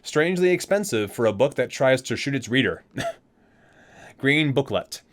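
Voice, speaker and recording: Man reading aloud ASMR-style, lively pitch. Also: measured pace. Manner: stumbles over words and corrects himself. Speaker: ASMR video host. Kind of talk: ASMR read-aloud from a game guidebook with casual asides.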